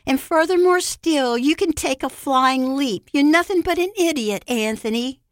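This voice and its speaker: A woman's voice: on the high side, animated, moderate pace, dry recording, studio quality, natural voice. A woman acting out a distraught, defiant drunken woman in a story, in emphatic outbursts with a raised voice.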